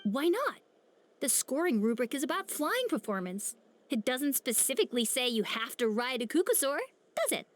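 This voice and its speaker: An actress playing a spirited, outspoken young woman who argues in a lively, pushy way. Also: high voice, normal speed. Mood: arguing. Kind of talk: video game cutscene dialogue.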